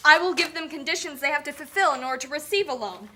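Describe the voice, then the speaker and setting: An officious lending official in a puppet show, acted by a woman. The voice is high and bright, authoritative and explanatory. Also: fast. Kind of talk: scripted educational puppet show.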